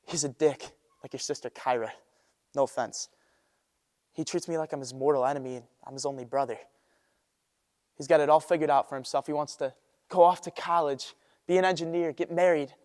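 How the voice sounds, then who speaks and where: Restless young dreamer, played by a teenage male, high-pitched, earnest stage delivery with dramatic pauses, ringing hall. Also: a little mellow, lightly acted. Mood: subdued. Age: teens. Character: restless idealistic young man rejecting a humdrum life. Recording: echoey hall with long reverb.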